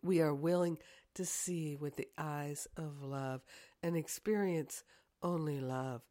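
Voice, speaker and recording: A woman speaks very slowly in a fairly low voice, with a hoarse, congested croak. She sounds like a prayer leader, deliberate in her phrasing and leaving space between her words. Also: steady tone, mellow. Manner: deliberate, repetitive affirming phrases with long pauses. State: hoarse from sinus trouble. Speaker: spiritual teacher leading a prayer. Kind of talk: devotional guided prayer, then casual announcements.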